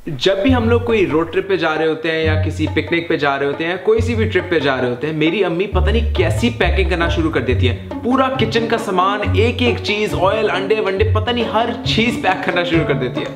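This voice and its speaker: A man's voice: high-pitched, lively intonation, a little fast, hardly any pauses, roomy sound. A young man tells a story to camera, casual and grumbling. His voice rings a little in a living room. Music plays behind him. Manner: casual, chatty, complaining. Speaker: comedy youtuber.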